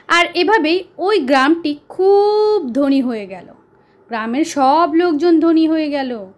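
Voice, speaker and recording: A woman narrating a children's story as a storyteller, deliberate and pausing for dramatic effect. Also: sits high.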